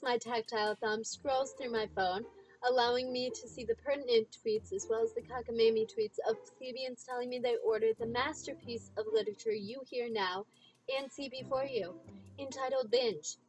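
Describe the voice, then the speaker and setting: High-pitched young woman reading theatrically. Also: low-quality recording. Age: young adult. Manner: theatrical, grandiloquent, enunciating. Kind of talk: mock-pompous dramatic reading. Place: small dim room indoors.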